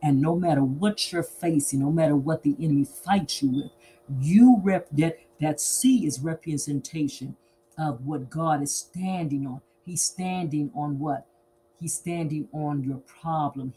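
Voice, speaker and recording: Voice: mid-range voice, a little slow, warm tone, slight background noise, low-quality recording. A preacher delivering an emphatic sermon, repeating phrases and asking rhetorical questions then answering them.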